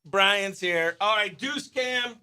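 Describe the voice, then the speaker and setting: An animated man hosts a live morning radio show with upbeat, chatty energy. His voice sits high and has a very bright, forceful edge. The sound is dry, like a studio, and he breaks readily into exclamations. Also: slow, clear, resonant tone, no echo.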